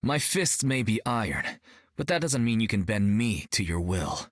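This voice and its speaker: A man's voice: fairly low pitch, sing-song, moderate pace, dry recording, studio quality. A young actor playing a brash, cocky male martial artist in a video game, joking and upbeat. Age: young adult.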